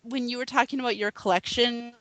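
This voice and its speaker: Casting: woman podcast co-host, somewhat high voice, earnest and halting, dry close sound. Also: fairly even intonation, a bit bright, dry recording, low-quality recording. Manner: earnest, halting, frequent ums. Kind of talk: casual chat.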